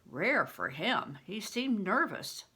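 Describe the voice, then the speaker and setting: An older woman reading a storybook aloud as a grandmother would, deliberate and careful, narrating expressively. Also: mid-range voice, big pitch swings, bright, forceful tone, breathy edge. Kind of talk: expressive read-aloud narration with questions to listeners.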